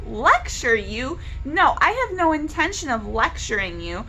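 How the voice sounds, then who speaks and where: A woman's voice: sits high, big pitch swings, measured pace, bright, forceful tone, slight background noise, full character voice, low-quality recording. A woman voicing a combative, insistent mother in a read-aloud novel. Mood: indignant. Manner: argumentative, insistent. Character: worried, combative, stubborn mom, adult woman.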